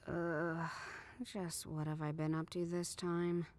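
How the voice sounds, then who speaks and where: A woman's voice: on the low side, level tone, slow pace, darkish tone. A woman playing a shaken, foul-mouthed detective in a video game, muttering wearily. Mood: groggy, weary.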